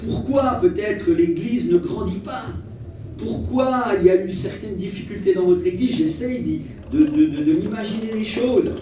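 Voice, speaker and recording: A man preaching as a travelling evangelist, telling personal stories in an earnest, animated way and repeating phrases for emphasis. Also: high-pitched, normal speed. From Portuguese family origin. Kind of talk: sermon with personal storytelling. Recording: echoey hall, distant mic, faint noise.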